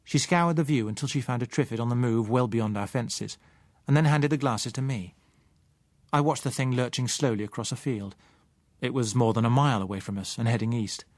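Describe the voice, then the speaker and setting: A man reading a first-person novel as an audiobook narrator, measured and articulate. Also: medium pitch, dry recording.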